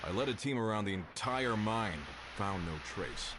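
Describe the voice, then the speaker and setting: A man's voice: on the low side, lively intonation, moderate pace, background noise, close to an everyday voice. An actor playing a grave, weary mine foreman in a video game, terse and serious.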